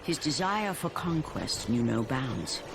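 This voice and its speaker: A man narrating a video game story in a grave, measured storyteller's voice. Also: mid-range voice, very animated, vocal fry, exaggerated voice. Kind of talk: scripted narration. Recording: loud background noise.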